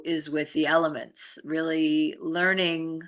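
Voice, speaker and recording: Middle-aged female co-host, earnest explainer in a casual chat; low register, forward bright tone. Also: level tone, slow. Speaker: co-host and healing arts practitioner.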